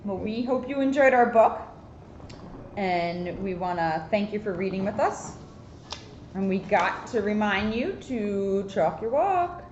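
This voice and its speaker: A woman's voice: mid-range voice, animated, a little slow, darkish tone. An American woman, a police officer co-hosting an informal community video for local families. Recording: echoey, dull, faintly noisy room sound.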